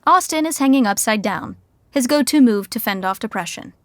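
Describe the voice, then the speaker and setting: A woman narrates a comedy from a script. Her voice is on the high side as she guides the listener through each scene. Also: lively intonation, brisk, background noise.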